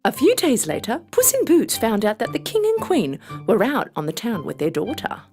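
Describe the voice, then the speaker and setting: Hugely animated female storyteller. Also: on the high side, normal speed. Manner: dramatic, expressive storytelling. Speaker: narrator. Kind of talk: scripted children's fairy tale narration. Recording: music bed behind voice.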